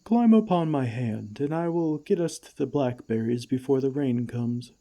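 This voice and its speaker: A man playing a gentle, caring friendly giant in an ASMR comfort roleplay, with tender, old-fashioned, unhurried phrasing. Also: medium pitch, expressive, natural voice. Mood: gentle concern.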